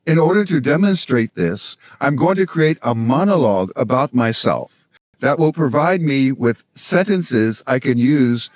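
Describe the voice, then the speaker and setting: Older man, American accent, sixties, medium pitch, dry recording, measured explanatory delivery, scripted instructional narration. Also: expressive, a little mellow, dry recording. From South Florida, United States. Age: 60s. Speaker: language-learning video host, polyglot.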